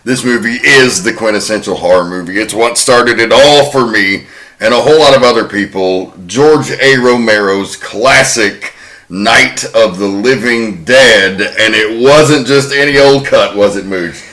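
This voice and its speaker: Bright-voiced male podcast host. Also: lowish voice, a little slow, low-quality recording. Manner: chatty, casually profane storytelling. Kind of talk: casual podcast chat.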